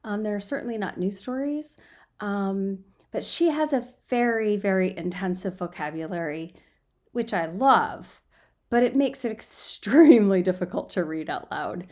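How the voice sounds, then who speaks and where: A woman's voice: medium pitch, lively intonation, a little slow, a little mellow. A woman reading and chatting as an amateur storyteller, informal and self-deprecating, recorded in a guest room on a laptop microphone. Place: quiet guest room at home. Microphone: built-in laptop microphone.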